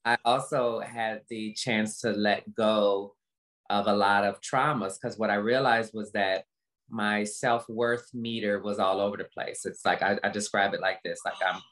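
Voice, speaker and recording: A woman with a low voice talks reflectively and emphatically as an interview guest on a video call, repeating phrases for effect. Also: steady tone, measured pace, a bit bright, rough recording. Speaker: interview guest, motivational speaker. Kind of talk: reflective personal talk in an interview.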